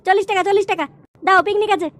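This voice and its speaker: Cartoon brat boy, extremely high squeaky pitch, rapid chatter, whiny, brash, scheming. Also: fairly even intonation, fairly bright tone. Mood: pestering. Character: cheeky, foul-mouthed, scheming young boy. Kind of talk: comic cartoon dubbing in colloquial Bengali.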